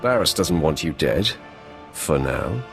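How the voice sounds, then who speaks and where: A Sith apprentice with a very low, gravelly voice. He is cold and arrogant, delivering curt, sardonic threats as in a dramatic game cutscene. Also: big pitch swings, slow, music in the background, exaggerated voice. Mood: scornful menace. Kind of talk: video game cutscene acting.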